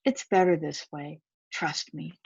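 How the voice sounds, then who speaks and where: Plucky young boy duck, storybook woman performer; slightly husky, a bit muffled recording. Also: deep voice, measured pace, heavily acted. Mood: reassuring. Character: little duck, male, plucky, earnest young hero. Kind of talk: children's picture book read-aloud.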